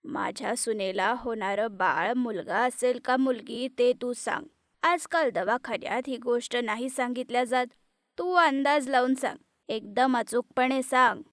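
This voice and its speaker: Woman putting on a mean mother-in-law, scolding and threatening, lowish voice, dry close sound. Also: a little slow, fairly bright tone, dry recording. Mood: demanding. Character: harsh scheming middle-aged mother-in-law.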